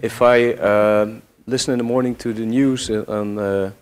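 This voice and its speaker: A man's voice: on the low side, steady tone, moderate pace. A man from Belgium whose first language is Dutch, asking a question at a conference, hesitant and repeating words. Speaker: audience member.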